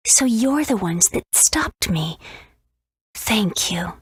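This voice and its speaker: A woman playing a gentle butterfly spirit in human guise in a video game, speaking softly and unhurriedly in a breathy, whispery voice. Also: mid-range voice, lively intonation, slow, no echo, clean studio sound, exaggerated voice. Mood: serene, grateful. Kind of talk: video game character acting.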